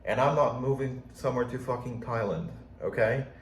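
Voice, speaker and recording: A man's voice: mid-range voice, normal speed, slight background noise. A young man with a slight Polish accent, rambling frankly and swearing casually in a video journal. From Poland. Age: young adult. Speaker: vlogger. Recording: echoey room, faint ambience.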